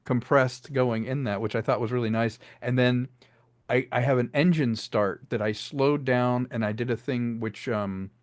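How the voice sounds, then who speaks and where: A man's voice: mid-range voice, normal speed, no echo. A man speaking casually as an audio drama director, explaining his work with illustrative enthusiasm in an interview.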